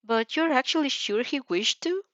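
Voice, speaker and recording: A woman voicing a polite, cheerful animal school principal in a fan fiction audio reading, courteous and gently probing, on a slightly dull home recording. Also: high-pitched, lively intonation, moderate pace, no room sound, pitched above the performer's own voice. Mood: musing.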